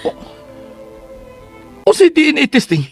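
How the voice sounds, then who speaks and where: A man's voice: very high-pitched, wildly swooping pitch, measured pace, creaky, full character voice. A man playing an insistent, jealous young man hurt by love in a radio drama, urgent and confronting. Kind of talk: radio drama acting in Cebuano. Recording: music under the voice.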